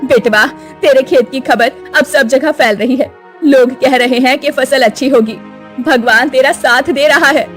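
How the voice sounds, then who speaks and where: Cartoon mother, voiced by the narrator, reassuring, high, faint music behind. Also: unhurried. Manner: reassuring, gentle. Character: warm hopeful village mother.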